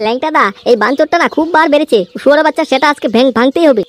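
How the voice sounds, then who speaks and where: Casting: performer as ranting young village tough for crude comic cartoon, high voice, extremely rapid delivery, aggressive swagger. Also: natural voice. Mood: angry, ranting. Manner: ranting, aggressive, swearing constantly. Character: foul-mouthed hot-headed young village club tough.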